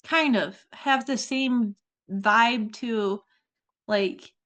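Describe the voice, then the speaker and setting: Woman, podcast co-host, casual chat, playful and quick with silly answers, fairly high voice. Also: fairly bright tone.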